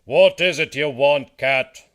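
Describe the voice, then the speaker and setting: A man voicing a busy, pompous, dismissive town mayor in a children's storybook, impatient and loudly declaiming. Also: fairly high pitch, slow pace, pitched above the performer's own voice.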